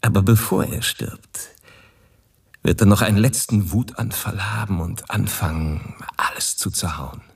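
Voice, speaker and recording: An actor playing a world-weary man abroad in a radio drama monologue, husky and ruminative. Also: fairly low pitch, a little slow, far from the mic, exaggerated voice. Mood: brooding, bleak.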